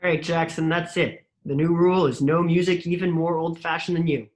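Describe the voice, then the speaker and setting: Carefree party-boy brother in his twenties, played by a woman, slangy and breezy, slightly low pitch, table-read comedy. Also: level tone, fairly quick, a little mellow, natural voice. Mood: teasing. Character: fun-loving, wild, laid-back 24-year-old brother. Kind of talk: amateur screenplay table read.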